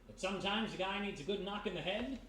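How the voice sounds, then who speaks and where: A man's voice: high voice, fast talker, a bit bright. Man playing a wisecracking detective, echoey stage. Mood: dismissive. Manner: wry, wisecracking, casual. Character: flippant, streetwise American male private detective. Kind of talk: live old-time radio mystery acting. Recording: echoey live stage, distant mic, faint noise.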